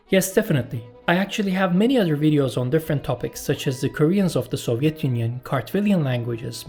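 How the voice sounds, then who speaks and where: Husky Turkish man reading a Q&A. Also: fairly high pitch, a little mellow. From Turkey. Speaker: YouTube channel host. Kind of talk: scripted Q&A voice-over.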